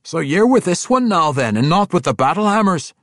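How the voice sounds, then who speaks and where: A man's voice: high-pitched, very animated, brisk, fairly bright tone, no room sound, studio quality, pitched above the performer's own voice, full character voice. A man voicing a suspicious, blustering dwarf king in a fantasy audiobook, challenging others in rustic dialect. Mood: surprised.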